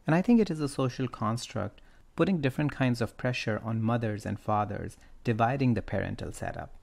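A man hosting a podcast, telling a story and discussing it casually, with a gravelly creak in his voice. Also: medium pitch, expressive, brisk, darkish tone. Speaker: podcast host.

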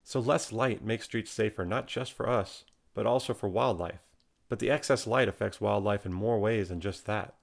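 A man's voice: lowish voice, normal speed, gravelly. A man with an American accent reading scripted documentary narration. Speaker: narrator and nature photographer. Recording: music behind. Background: music.

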